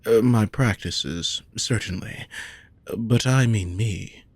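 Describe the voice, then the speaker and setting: A man playing a possessive, lovesick wealthy nobleman in a romantic audio roleplay, speaking formally and deliberately to the listener. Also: lowish voice, vocal fry, no echo, full character voice. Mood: wounded, probing. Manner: formal, measured, deliberate phrasing.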